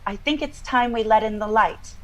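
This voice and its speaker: An actress plays a woman with a fairly high voice, earnest and troubled, in a naturalistic audio drama. She recounts a strange dream haltingly, doubling back on her words as she searches for the right ones. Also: close to an everyday voice. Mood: uneasy.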